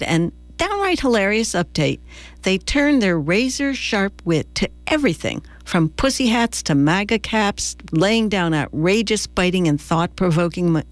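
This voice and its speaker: A woman's voice: fairly low pitch, no echo. A woman radio presenter reading a scripted event promotion.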